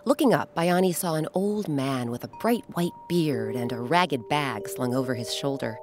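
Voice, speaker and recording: A woman's voice: rumbling low, expressive, normal speed, faint music behind. A woman hosting and narrating a children's story, with dramatic, emphatic phrasing. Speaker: host and narrator.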